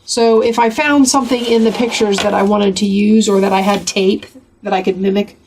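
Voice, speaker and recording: A woman with a gravelly voice from a cold, chatting casually as she flips through a craft journal. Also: mid-range voice, fairly even intonation, moderate pace, a little mellow, a little hiss or hum, poor audio. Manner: chatty, rambling, with many fillers. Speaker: craft channel host.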